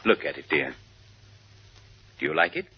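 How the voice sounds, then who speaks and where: An actor playing a wealthy, doting colonel in a 1950s radio drama, speaking in a gallant, courtly manner. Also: male voice, a bass voice, lively intonation, normal speed, slightly rough, heavily acted. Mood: courtly, adoring. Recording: dull old radio recording, loud music.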